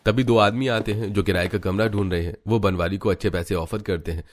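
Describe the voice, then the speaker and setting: A man's voice: fairly low pitch, steady tone, a little fast, slight background noise. A man narrating a cartoon family story in a scripted storytelling style.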